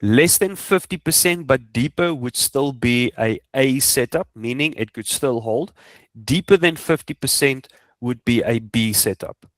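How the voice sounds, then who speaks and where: A man's voice: mid-range voice, measured pace, no room sound. A man with a South African Afrikaans accent, a native Afrikaans speaker, coaching informally and emphatically, repeating points and asking rhetorical questions. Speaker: trading coach. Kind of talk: informal instructional screen walkthrough.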